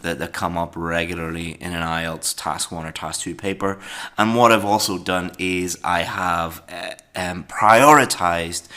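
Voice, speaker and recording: A man's voice: deep voice, sharp tone. A man teaching an online English grammar lesson for exam students, explaining step by step with frequent ums and restarts. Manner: explanatory, many fillers and restarts.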